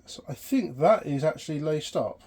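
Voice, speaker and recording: A man's voice: a little high, expressive, normal speed, a little mellow. A man thinking aloud in short, tentative fragments during a casual hands-on demonstration. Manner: tentative, murmuring short fragments while working. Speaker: vintage electronics hobbyist and presenter.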